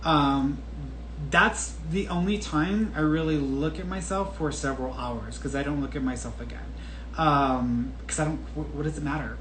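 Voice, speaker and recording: A man in his late thirties talks casually and candidly to his audience, restarting sentences as he goes. His voice sits a touch high for a man. The room is echoey, with a faint hiss of noise behind him. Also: a bit bright. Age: 30s. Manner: chatty and candid, with frequent restarts and laughs. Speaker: podcast host answering viewer questions. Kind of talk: casual solo talk.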